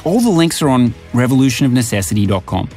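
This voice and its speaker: Lively man narrating over guitar music. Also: mid-range voice, fast. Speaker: podcast host and narrator. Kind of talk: scripted narration. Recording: music bed under narration.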